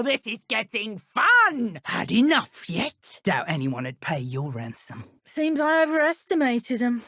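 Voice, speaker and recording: A woman with a British accent playing an arrogant, menacing dark witch poacher in a video game, with a theatrical, mocking manner. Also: on the high side, breathy edge, dry recording, clean studio sound, exaggerated voice. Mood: taunting, defiant. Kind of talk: video game combat voice acting.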